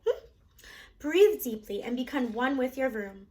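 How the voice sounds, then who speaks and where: A woman's voice: sits high, sing-song, measured pace, warm tone. A woman voicing a soothing new-age therapist in a storybook, instructing as in a guided meditation.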